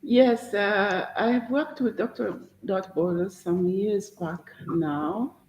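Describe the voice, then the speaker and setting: A woman doctor in her fifties giving a halting personal account with many pauses, over a call. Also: medium pitch, darkish tone, a little hiss or hum. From Congo. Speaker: doctor and guest. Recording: roomy, dull lo-fi call line.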